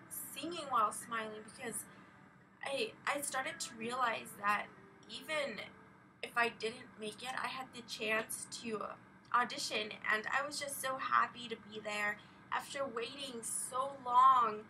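A young woman, chatting casually in a halting, rambling vlog full of "like" as a filler. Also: fairly high pitch, pushed and bright. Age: young adult. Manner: halting, filler-heavy with frequent "like". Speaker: vlogger. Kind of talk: casual rambling personal vlog, recounting an experience. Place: small bedroom at home, indoors. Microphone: webcam or laptop at arm's length. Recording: distant webcam mic, faint noise.

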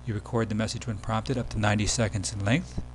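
A man giving a casual, step-by-step software tutorial as the presenter of a screen demo. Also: on the low side, level tone, quick pace. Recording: background hum and noise.

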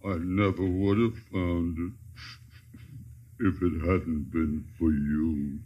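A man playing a big, soft-hearted lion in a 1950s radio play, with a deep, husky voice. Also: flat delivery, takes it slowly, exaggerated voice. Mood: earnest. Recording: dull vintage radio recording.

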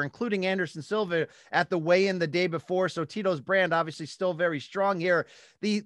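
Male podcast host, 40s, American. High voice, dry close mic, punchy sports commentary with interview questions. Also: fairly bright tone, dry recording. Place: small home studio with posters. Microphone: studio mic on a boom arm, close.

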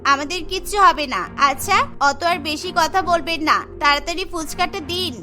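Woman voicing a very high, bright cartoon schoolgirl. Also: fairly quick, soft background music. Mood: eager, bossy. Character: stubborn, spice-loving little schoolgirl, pleading and cheeky. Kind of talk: Bengali cartoon story voice acting.

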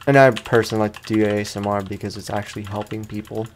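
A man's voice: on the low side, level tone, fast talker, a little hiss or hum. A man giving casual, rambling let's-play commentary with informal slang, chatting to viewers as he plays. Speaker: gaming content creator, let's play host. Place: room at home.

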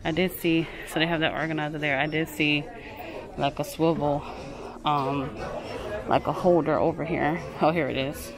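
Low-voiced woman filming a store tour. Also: steady tone, moderate pace, crowd noise. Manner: chatty, keeps calling things cute, reads prices aloud. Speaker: shopping vlogger. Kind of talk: casual shop-with-me narration. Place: inside a large home goods store. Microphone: handheld phone camera. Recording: store recording with music playing behind.

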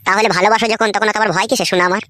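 A woman's voice: medium pitch, steady tone, rapid-fire, fairly bright tone, no room sound, studio quality, close to an everyday voice. A woman voicing a brash young man playing secret lover in a cartoon comedy, boastful and crude, with a rural West Bengal accent. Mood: wooing.

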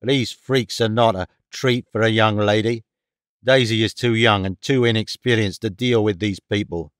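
A man voicing a gruff, domineering, bigoted father in an audiobook, curt and commanding. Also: fairly low pitch, fairly even intonation, moderate pace, no echo, studio quality, lightly acted. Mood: angry, scornful. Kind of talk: audiobook character dialogue.

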